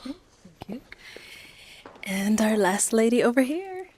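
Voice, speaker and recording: A woman hosting a dating show, brisk and directing, teasing the contestants now and then. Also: on the high side, very animated, a little mellow.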